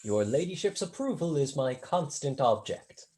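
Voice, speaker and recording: A young man acting a courteous, drily witty tutor in a staged reading, formal and deferential, with a husky voice. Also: a little high, sing-song, normal speed, mellow. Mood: dryly courteous. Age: young adult. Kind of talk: staged reading of a period comedy.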